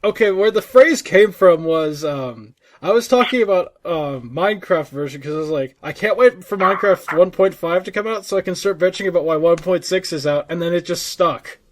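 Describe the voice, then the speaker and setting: Higher-pitched American man in halting banter. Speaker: podcast co-host. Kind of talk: casual banter.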